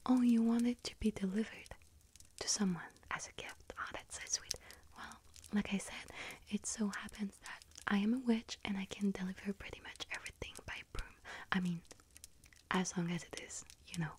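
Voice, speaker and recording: A woman playing a friendly, scatterbrained young witch serving a bakery customer in an ASMR roleplay, hesitant, apologetic and gently chatty. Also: medium pitch, steady tone, normal speed, lightly acted. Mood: helpful, gentle. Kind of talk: ASMR roleplay, soft whispering.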